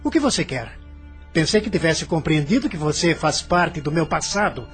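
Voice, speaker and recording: A man's voice: fairly high pitch, sing-song, moderate pace, faint music behind. An actor playing a rich, curt, haughty immigrant businessman with uneasy edges, in dramatic radio soap opera style. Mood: harsh, nervous. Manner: brusque, dramatic.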